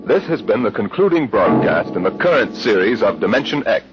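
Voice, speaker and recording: A man announcing in a formal, measured, dramatic 1950s radio style on a vintage broadcast, with music behind him. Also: lowish voice, sing-song, lo-fi sound.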